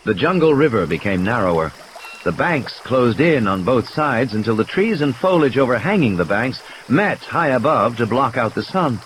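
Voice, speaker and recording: A man's voice: mid-range voice, sing-song, normal speed, a little hiss or hum, low-quality recording. A man reading scripted dramatic story narration as an audiobook narrator.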